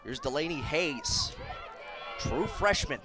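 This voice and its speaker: A man's voice: fairly high pitch, animated, slow, a crowd in the background, noisy background. A man in his twenties delivering sports broadcast narration and commentary as a reporter and announcer. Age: 20s.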